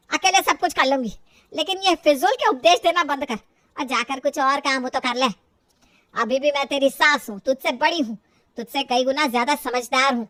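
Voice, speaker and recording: A woman's voice: sits high, pushed and bright, dry recording. A woman voicing a stubborn, elderly Indian mother-in-law who scolds and grumbles indignantly in a family storybook tale. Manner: indignant, grumbling, talks to herself.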